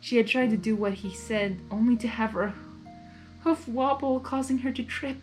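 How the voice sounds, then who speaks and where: A woman's voice: fairly high pitch, normal speed, faint music behind, poor audio. A woman narrating a story expressively, in the manner of an amateur reader who reacts as she goes. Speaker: fan fiction reader.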